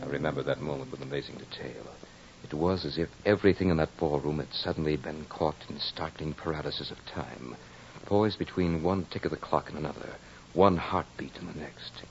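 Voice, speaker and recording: An actor playing a brooding aristocratic courtier in an old radio drama, telling his tale in a measured, florid, dramatic way. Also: rumbling low, creaky. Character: brooding aristocratic French courtier recounting a fatal duel. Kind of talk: 1940s radio drama monologue. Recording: muffled old radio recording.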